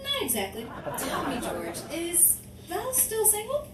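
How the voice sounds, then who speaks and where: Woman acting a high-pitched, touchy 1950s housewife. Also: wildly swooping pitch, unhurried, over a noisy crowd, exaggerated voice. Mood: coy, scheming. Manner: theatrically indignant, wheedling. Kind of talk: 1950s radio sitcom acting. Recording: roomy, distant, noisy home recording.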